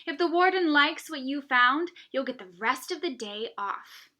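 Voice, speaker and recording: Woman as male camp counselor, storybook dialogue, bright raised voice, brisk, emphatic, instructing. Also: high voice, animated, pitched above the performer's own voice, exaggerated voice. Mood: instructive, firm.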